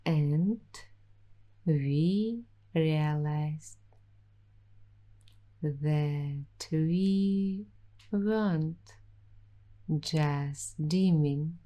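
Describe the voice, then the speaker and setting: Slow, soft-spoken dreamy woman. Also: deep voice, rough recording. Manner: slow, dreamy, intimate, addressing the listener directly. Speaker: ASMR roleplay host. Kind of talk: soft-spoken ASMR roleplay.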